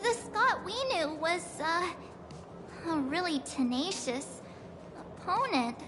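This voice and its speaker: An actress voicing a sweet, timid young girl heroine in a video game, with a hesitant, soft-spoken, earnest delivery. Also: very high-pitched, big pitch swings, takes it slowly, over a noisy crowd, full character voice. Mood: hesitant, worried. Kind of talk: scripted video game dialogue acting.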